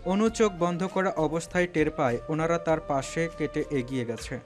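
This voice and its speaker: A man with a slightly high voice narrates a scripted story. He delivers it as dramatic storytelling, with faint music behind him. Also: moderate pace.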